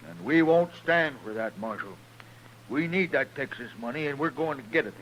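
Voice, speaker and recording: An actor playing a self-important, indignant frontier hotel owner in a 1950s radio western, pompous and insistent. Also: male voice, medium pitch, expressive, normal speed, a bit bright, close to an everyday voice. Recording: noisy old radio recording.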